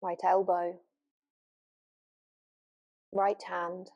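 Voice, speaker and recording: Soothing, even-toned woman guiding relaxation. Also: on the low side. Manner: gentle, unhurried, soothing, with long pauses. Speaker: yoga nidra teacher. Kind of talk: guided relaxation meditation. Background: new-age music.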